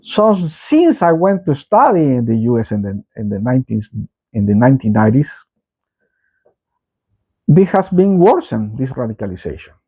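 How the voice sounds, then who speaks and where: A man's voice: mid-range voice, big pitch swings, moderate pace, warm tone, husky. Middle aged guy w salvadoran spanish accent doing political rant, voice swings up and down a lot, kinda muffled lo-fi mic. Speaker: political commentator. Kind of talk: opinionated monologue commentary. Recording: dull lo-fi microphone sound.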